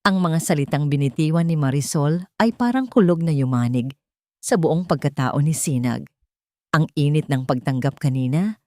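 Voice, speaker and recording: A woman's voice: sits low, dark tone, no echo, studio quality. A woman storyteller reading a scripted, dramatic narrative.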